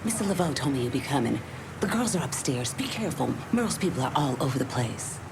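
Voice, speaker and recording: A woman's voice: rumbling low, sing-song, fast, heavily acted. An actress voicing an anxious, furtive female informant in a video game, speaking urgently. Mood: urgent, wary. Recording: clear ambient vehicle noise.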